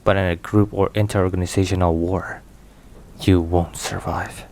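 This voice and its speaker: A man playing a stern, protective, weary mafia boss in an intimate ASMR roleplay, speaking in a grave, measured, halting way. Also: deep voice. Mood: stern, blunt. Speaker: voice actor. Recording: steady rain and thunder noise. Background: rain and thunder.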